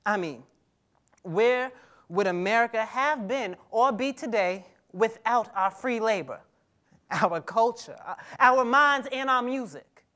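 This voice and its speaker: A man's voice: very high voice, lively intonation, slow, bright, forceful tone, heavily acted. A young man playing a proud, bitter teenage boy from 1950s America in a staged reading, emphatic with an occasional stammer. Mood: indignant. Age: young adult. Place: theatre stage before a seated audience. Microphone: clip-on lapel mic.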